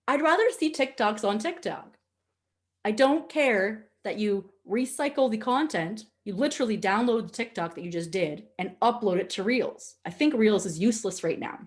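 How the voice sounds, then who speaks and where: Animated Nova Scotian Canadian woman, chatty. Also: medium pitch. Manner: animated, candid, chatty, jokey. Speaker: social media instructor. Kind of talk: informal webinar teaching with casual asides.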